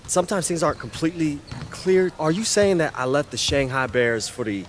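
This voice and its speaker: An actor playing a cocky, flustered young American basketball prospect, speaking in a halting, casual way in a game cutscene. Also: male voice, a little high, slight background noise, lightly acted. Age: young adult. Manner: halting, stammering casual speech. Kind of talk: naturalistic video game cutscene acting.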